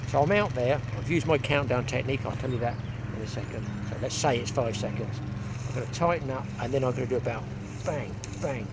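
A man's voice: medium pitch, fairly quick. An older man giving a chatty, hands-on fly fishing lesson, talking as he demonstrates on a lakeside bank with a clip-on mic. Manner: chatty, hands-on, thinking aloud. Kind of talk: outdoor fishing tutorial. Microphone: clip-on mic outdoors, some wind. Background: wind and outdoor ambience.

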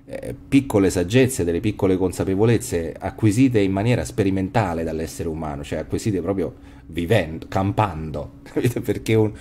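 A man's voice: sits low, normal speed, warm tone. A man in his forties hosting a podcast over a video call on a headset, musing in a reflective way with frequent restarts and trailing phrases. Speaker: podcast host. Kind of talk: casual philosophical chat. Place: home room, video call.

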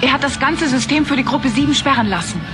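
A serious young female scientist gets straight to the point. Her voice is fairly high and animated. Loud music plays under this radio drama dialogue. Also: fast. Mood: direct. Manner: direct, to the point. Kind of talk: film dialogue in an audio drama.

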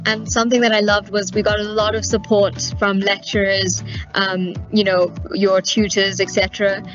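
A young woman graduate speaking calmly into a webcam at home. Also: mid-range voice, normal speed, lo-fi sound, faint music behind. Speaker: recent graduate. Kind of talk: testimonial to camera.

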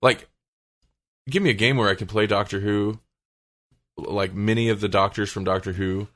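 Low-pitched man chatting on a podcast. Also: fairly even intonation, moderate pace, a bit bright. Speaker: podcast co-host. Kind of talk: casual chat.

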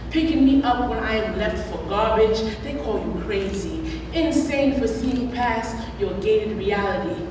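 A young woman recites spoken-word poetry at a podium microphone. She takes her time, placing each phrase with deliberate drama and weighty pauses. The recording is distant and heavily reverberant, with clear background noise. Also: mid-range voice. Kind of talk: live poetry slam recitation. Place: large room at a college, on stage at a podium. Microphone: microphones on stands at a podium. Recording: echoey hall, distant mic, background noise.

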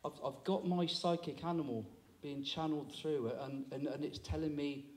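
A man in his sixties with a fairly high voice holds a handheld mic on a small town hall stage. He chats and teases the audience in easy stand-up crowd work. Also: steady tone, moderate pace, a little mellow. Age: 60s. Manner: chatty, teasing, audience banter. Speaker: comedy compere. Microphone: handheld microphone.

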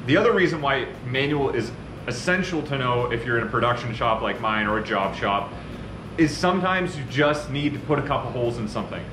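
A man's voice: medium pitch, fairly quick. Man, 30s, shop owner, casual talk to camera, roomy shop echo, faint background hum. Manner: conversational, gestures a lot while explaining. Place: large machine shop, indoors. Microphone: camera at a few metres. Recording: echoey shop with faint noise.

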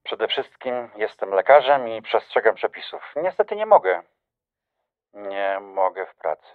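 A man's voice: lowish voice, expressive, a little slow, phone-quality sound. An actor playing a smug, flirtatious doctor who teases his ex-lover, in a radio drama over a telephone. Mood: firm. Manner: teasing, insinuating.

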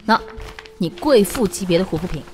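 An actress playing a brisk, teasing older-sister police officer in an audio drama. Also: female voice, medium pitch, expressive, a little mellow, soft background music, lightly acted. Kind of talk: Chinese audio drama acting.

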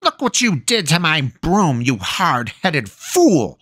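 A man's voice: medium pitch, big pitch swings, slow pace, a bit bright, no echo, clean studio sound, voice pushed up, heavily acted. A man voicing a wicked old witch woman who speaks with menacing scorn. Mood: scornful. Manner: sneering, menacing.

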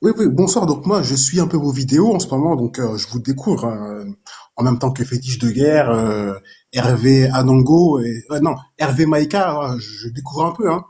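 A man's voice: mid-range voice, normal speed, dark tone. A man calling in to a live stream, polite and hesitant, often stammering. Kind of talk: casual call-in chat.

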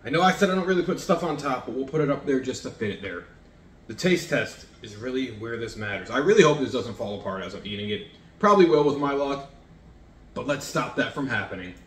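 A man's voice: medium pitch, animated, a little fast. A man in his thirties chatting casually to camera in his home kitchen as he cooks and taste-tests, rambling and self-deprecating. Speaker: home cooking vlogger. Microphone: fixed camera across the counter. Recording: echoey kitchen.

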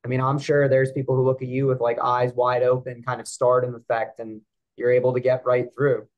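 A man's voice: mid-range voice, monotone, brisk, muffled recording. A man co-hosting a casual podcast, talking in long rambling turns full of "like" fillers. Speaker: podcast co-host. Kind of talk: casual interview chat.

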